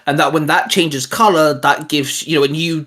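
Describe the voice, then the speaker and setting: A man's voice: fairly high pitch, steady tone, moderate pace, fairly bright tone, a little hiss or hum. A British man hosting a casual chat, brainstorming ideas with enthusiasm. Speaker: podcast host.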